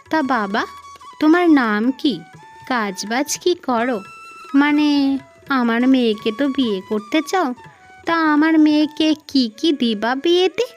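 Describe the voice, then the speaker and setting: A woman performs a greedy, meddling mother from a cartoon fairy tale. Her voice sits high and comes across haughty and demanding, scolding as she goes. Music plays behind her. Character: arrogant, greedy, meddling mother of a beautiful bride. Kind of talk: Bengali moral-tale cartoon voice acting.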